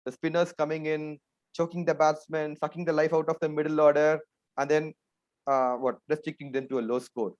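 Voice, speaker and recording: A man's voice: on the high side, level tone, brisk, a little mellow. A man with an Indian accent hosting a casual sports chat, conversational, often tossing tag questions to his co-panelists. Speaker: host of a cricket discussion show. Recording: dull, lo-fi band-limited sound.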